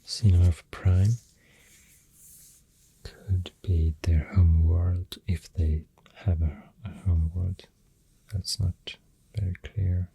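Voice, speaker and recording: A soft-spoken ASMR host with a Swedish accent, talking in a hushed, breathy, partly whispered voice, halting and gentle, close to the microphone. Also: low voice, steady tone, slight background noise, poor audio.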